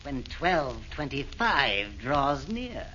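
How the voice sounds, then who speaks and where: A gloating Caligula savors every word at a very slow pace, his bright voice rising and falling widely. The sound is dull and lo-fi, like old radio. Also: medium pitch, very animated, slight background noise, exaggerated voice. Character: Caligula, gloating former emperor demon. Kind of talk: 1940s radio acting.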